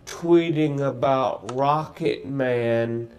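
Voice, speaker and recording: A man giving political commentary on television in a measured, deliberate way. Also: mid-range voice, darkish tone.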